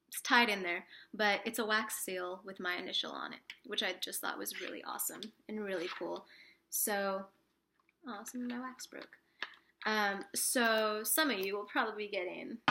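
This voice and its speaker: A woman with a cold, sniffly and a little rough, chatting casually to camera as a spiritual vlogger, rambling with lots of fillers and restarts. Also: medium pitch, pushed and bright, slight background noise.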